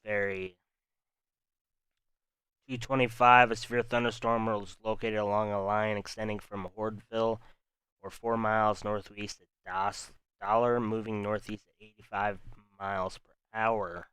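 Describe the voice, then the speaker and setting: A man with a calm, rambling way of talking, hosting an unscripted live weather stream and speaking to his viewers. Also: lowish voice, a little slow, smooth, dry recording, low-quality recording. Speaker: livestream weather host.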